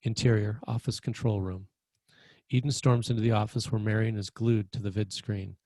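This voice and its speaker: Casting: male screenplay narrator, dark relaxed tone, dry recording, straightforward scripted delivery. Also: fairly low pitch, normal speed, dry recording. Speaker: stage-direction narrator.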